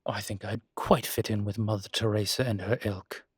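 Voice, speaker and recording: Guy voicing a suave old-as-time demon professor, super husky and kinda deep, wry urbane teasing, roleplay audio. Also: steady tone, brisk, no room sound, studio quality. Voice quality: husky, breathy low voice. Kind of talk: intimate roleplay audio drama.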